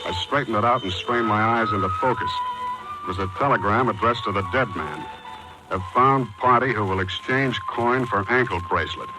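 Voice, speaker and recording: An American actor playing a hardboiled, wisecracking private detective in a 1940s radio drama, terse, slangy and sardonic. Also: male voice, fairly low pitch, normal speed, lightly acted, low-quality recording. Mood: grim, weary. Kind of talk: 1940s radio detective acting, first-person narration. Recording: 1940s radio recording with music behind.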